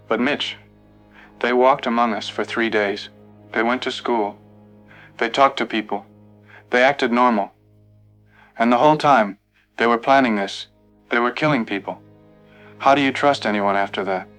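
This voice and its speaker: An actor playing a sleepless, anxious motel night clerk calling in to a radio show. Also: male voice, medium pitch, a little slow, pauses often, a bit bright, soft background music, close to an everyday voice. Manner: anxious, weary. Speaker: caller.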